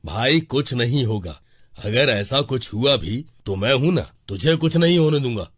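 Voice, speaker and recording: A man voicing a protective, steady older brother in a cartoon story, reassuring and earnest. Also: medium pitch, measured pace, husky.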